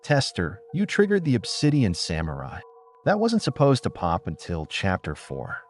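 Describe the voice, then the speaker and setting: A man's voice: fairly low pitch, huge pitch swings, moderate pace. A man voicing an alarmed male guide avatar in a sci-fi game audiobook, urgent and cautioning. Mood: urgent warning. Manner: urgent, warning.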